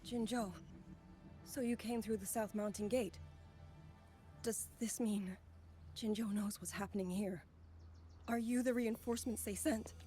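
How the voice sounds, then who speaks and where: An actress playing an earnest young woman soldier in a video game, speaking gravely and formally. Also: medium pitch, fairly even intonation, mellow, lightly acted. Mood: surprised, wary. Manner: formal, grave, measured delivery. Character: earnest young woman soldier, last survivor of her squad. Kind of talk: dramatic video game voice acting. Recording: game music behind the voice.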